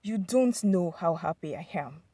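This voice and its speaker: A woman's voice: on the low side, lively intonation, measured pace, dark tone, dry recording, studio quality, voice pushed down. A woman voicing an earnest young female graduate in a narrated folktale. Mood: amazed joy.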